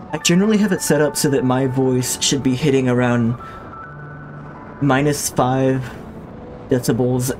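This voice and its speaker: A man chatting casually to his viewers while he plays a game, talking loosely and thinking out loud with frequent restarts. His voice is a middle pitch for a man and comes through a home streaming mic. Soft game music plays underneath. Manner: rambling and reflective, with many restarts. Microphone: streaming mic with a limiter.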